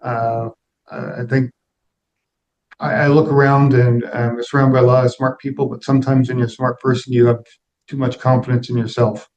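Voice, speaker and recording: A man's voice: medium pitch, flat delivery, moderate pace, darkish tone, a little hiss or hum, low-quality recording. A middle-aged man answering interview questions in a reflective, plain-spoken, conversational way. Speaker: interview guest, aviation executive.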